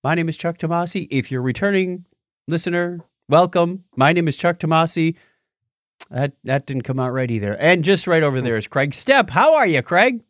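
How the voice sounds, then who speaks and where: Male podcast co-host, jokey and casual, animated delivery, dry close sound. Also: a little high, normal speed, no echo. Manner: jokey, teasing. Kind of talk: casual light-hearted podcast chat.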